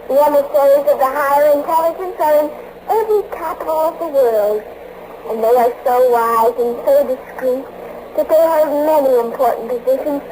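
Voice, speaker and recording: An actress playing a young, affectionate, solemn maiden with formal, foreign-styled phrasing in a vintage radio drama. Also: female voice, high-pitched, close to an everyday voice. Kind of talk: 1940s radio acting. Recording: noisy old radio serial recording.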